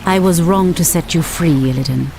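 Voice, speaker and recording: A woman playing a noble, resolute night elf high priestess in a video game cinematic, earnest, proud and firm. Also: moderate pace, gravelly, background noise. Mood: reproachful.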